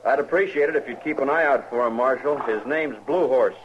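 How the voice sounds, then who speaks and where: An actor playing a green, proud young American cavalry lieutenant, clipped and a little hesitant, in a 1950s radio western. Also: male voice, medium pitch, fairly quick, close to an everyday voice. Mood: official. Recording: hissy muffled 1950s radio recording.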